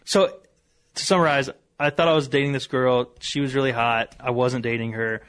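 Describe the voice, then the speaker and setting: A man's voice: mid-range voice, fairly even intonation, normal speed, fairly bright tone. An American man telling a casual, confessional story in a self-deprecating manner.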